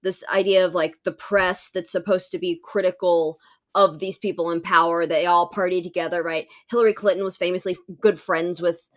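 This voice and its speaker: A woman's voice: lowish voice, steady tone, moderate pace. A woman discussing politics casually on a podcast, emphatic and informal, checking in with "like" and "right?". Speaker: podcast co-host.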